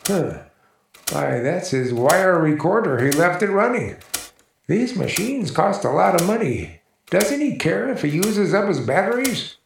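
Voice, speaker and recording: A man's voice: sits high, sing-song, a little slow, gravelly, slight background noise, full character voice. An actor playing a worried, take-charge man searching for missing friends in a 1940s radio drama. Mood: uneasy. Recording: roomy 1940s radio recording, music behind.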